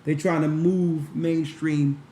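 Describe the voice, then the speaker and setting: A man, an elder of the church, talking quickly on a call-in show and often restarting his sentences. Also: on the high side, level tone, dark tone, echoey room, slight background noise. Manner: fast, rambling, restarts. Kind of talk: talk show commentary.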